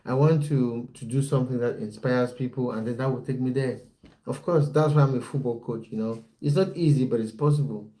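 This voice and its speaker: A man's voice: mid-range voice, fairly quick, mellow, rough recording. A man from Cameroon, a football coach chatting on a livestream and reading out viewer comments. He repeats his phrases and talks straight to his viewers.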